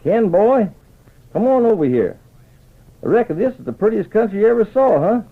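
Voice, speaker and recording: A man's voice: sits high, sing-song, moderate pace, voice pushed up, exaggerated voice. A man voicing an old trapper and scout who talks in folksy cowboy phrases, in western radio storytelling. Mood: proud, hearty. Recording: muffled old radio transcription.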